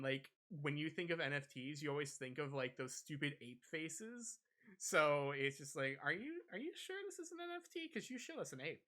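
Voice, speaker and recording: Man with a clear, bright voice. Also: a little high. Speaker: podcast host. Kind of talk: casual gaming news discussion.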